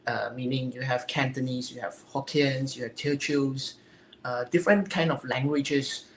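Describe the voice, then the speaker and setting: A woman from Singapore with a Singaporean English accent, answering interview questions earnestly and haltingly, searching for her words. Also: extremely low pitch, steady tone. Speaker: interview guest, physique competitor. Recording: echoey room, faint ambient noise.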